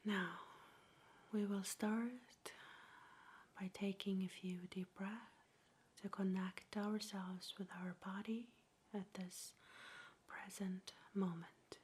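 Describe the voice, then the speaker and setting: A woman speaking in a soft, breathy whisper, slowly and gently guiding a meditation in an ASMR style, with measured pauses. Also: medium pitch, little pitch movement, a little hiss or hum.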